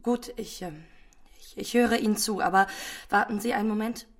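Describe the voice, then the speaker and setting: A woman's voice: mid-range voice, normal speed, natural voice. An actress playing a proud, loyal young Parisian lady in a radio drama, speaking politely and formally. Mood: flustered, composed.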